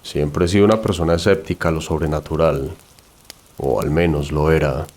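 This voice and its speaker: A man with a low, deep voice tells a horror tale in the first person. He reads it as a scripted story, in the role of narrator. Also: fairly even intonation, moderate pace, darkish tone.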